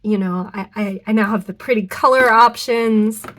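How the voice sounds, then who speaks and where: A young woman speaks in a congested, nasal voice, clearly fighting a cold and losing her voice. She rambles warmly through her story with frequent ums, likes and restarts, like a casual vlog recorded in her own craft studio. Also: medium pitch, moderate pace. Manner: chatty, rambling, self-correcting with many ums and likes. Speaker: fountain pen vlogger. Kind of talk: casual unboxing chat to camera.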